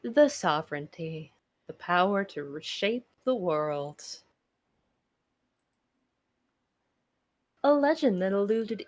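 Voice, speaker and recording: A woman voicing a bitter, self-important female adventurer in a visual novel, whiny and dramatically sardonic. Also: on the low side. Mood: grandiose, bitter. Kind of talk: acted visual novel narration.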